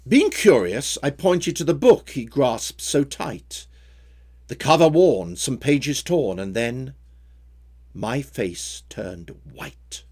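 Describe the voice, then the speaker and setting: A man reading his own story poems in a measured, theatrical way with a rhyming cadence. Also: mid-range voice, very animated, darkish tone, breathy edge. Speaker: poet reading own work. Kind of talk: dramatic poetry recitation.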